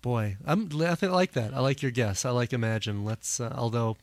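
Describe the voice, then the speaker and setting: A man hosting a music podcast in casual chat, his voice a little hoarse, talking with plenty of fillers. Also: mid-range voice, lively intonation, fairly quick, no room sound. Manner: rambling, many fillers. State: slightly hoarse, losing his voice. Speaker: podcast host.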